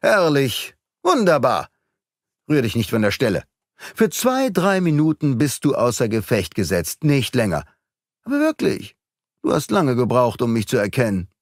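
A man voicing a boastful, mocking gentleman thief in an audiobook, with a theatrical, taunting manner. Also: mid-range voice, huge pitch swings, normal speed, no room sound, studio quality, pitched above the performer's own voice. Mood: gloating. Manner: taunting, theatrical, mock-friendly.

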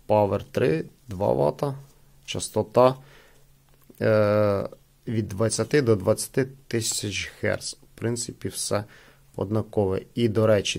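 Man, gravelly creak, casual product review, halting, thinking aloud. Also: lowish voice, darkish tone. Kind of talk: casual unboxing commentary.

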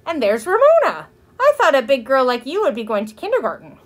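Woman putting on a gushing, high-pitched nosy neighbor lady. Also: wildly swooping pitch, brisk. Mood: gushing delight. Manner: exclamatory, sugary, condescending toward a child.

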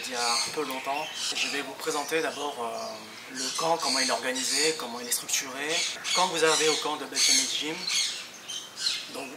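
A young man giving a casual vlog explanation, haltingly and searching for words. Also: mid-range voice, recorded from a distance, heavy background noise. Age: young adult. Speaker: vlogger.

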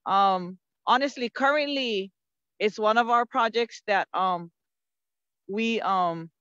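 A woman's voice: mid-range voice, a bit bright, clean tone, no echo. Hawaiian woman talking from an outdoor field. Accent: Hawaiian Pidgin-tinged English. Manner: earnest, frequent um fillers, slows to savor moments. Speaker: community speaker. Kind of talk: casual webinar talk. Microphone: phone held close on a video call. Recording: dry, slightly muffled video call audio.

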